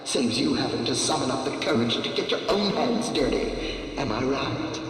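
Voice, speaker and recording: A man playing a gleeful, sadistic host of a deadly game in a horror audio drama, taunting theatrically with drawn-out phrasing. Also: mid-range voice, expressive, a bit bright, natural voice. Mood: mocking glee. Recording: distant, echoing hall reverb.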